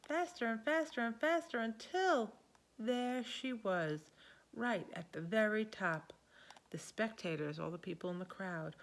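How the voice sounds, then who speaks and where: Slow-paced woman reading a children's story. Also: on the high side. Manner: expressive storytelling with asides to young listeners. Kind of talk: picture book read-aloud.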